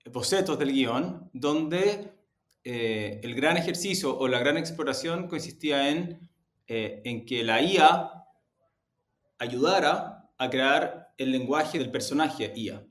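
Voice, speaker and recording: A man's voice: medium pitch, slow pace. Hesitant Chilean man in an echoey room. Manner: hesitant, searching for words. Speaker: interview guest, audio production executive. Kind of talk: reflective interview answers. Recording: roomy, echoey sound.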